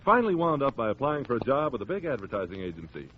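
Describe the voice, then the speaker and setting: Lively male vintage radio announcer. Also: mid-range voice, fast talker. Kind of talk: 1940s scripted radio narration. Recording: dull, faintly noisy 1940s radio recording.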